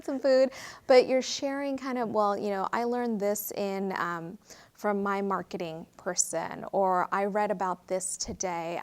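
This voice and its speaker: An earnest woman in her thirties from Fiji talks through her work as an attorney in a relaxed interview. Her pitch sits in an ordinary middle range, and she speaks reflectively, pausing often with "um" and "you know" as she gathers her thoughts. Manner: earnest, reflective, frequent fillers. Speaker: guest, real estate attorney. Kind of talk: interview conversation.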